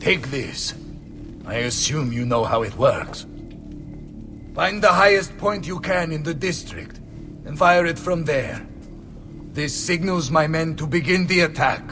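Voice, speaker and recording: An actor playing a bold, blustering Renaissance mercenary captain with an Italian accent in a video game. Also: male voice, fairly high pitch, expressive, a little slow, pauses often, a bit bright, faint music behind. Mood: confident, scheming. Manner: blustering, theatrical. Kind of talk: video game cutscene acting.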